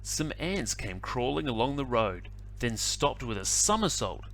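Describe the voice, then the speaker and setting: A male teacher reading a picture book aloud to children, animated and adding little asides. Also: medium pitch. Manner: animated, slow storytelling with asides.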